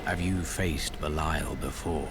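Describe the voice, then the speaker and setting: Very deep-voiced stoic warrior. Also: male voice, background noise. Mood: grave calm. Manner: slow, terse, calm. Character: stoic, grave warrior hero, terse and composed. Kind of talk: fantasy video game voice acting. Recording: loud music underneath. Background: music.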